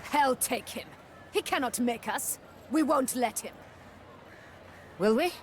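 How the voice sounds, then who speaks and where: An actor playing a defiant, hot-headed knight in a medieval video game. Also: male voice, very high voice, animated, takes it slowly, a little hiss or hum, heavily acted.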